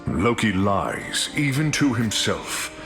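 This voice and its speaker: An actor voicing a boisterous, proud Norse thunder god with grand archaic phrasing for a video game. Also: male voice, fairly low pitch, big pitch swings, slow pace, exaggerated voice. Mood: grave, lofty. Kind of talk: video game hero voice lines. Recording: long reverb, music behind.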